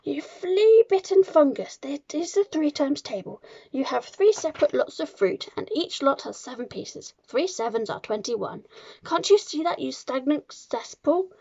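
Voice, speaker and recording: A woman's voice: a little high, brisk, vocal fry, lo-fi sound. A woman voicing a tyrannical, explosively angry older headmistress in a children's storybook, barking and sneering as she stretches out her insults. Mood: furious, bellowing. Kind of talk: children's storybook character reading.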